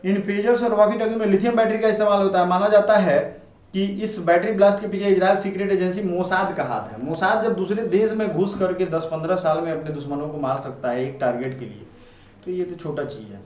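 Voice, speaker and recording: High-voiced Indian man lecturing in an echoey room. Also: fairly quick, a little mellow. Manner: conversational, checks understanding with rhetorical tags. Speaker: online teacher. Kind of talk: informal explanatory current affairs lecture. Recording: muffled, roomy, distant mic, faint noise.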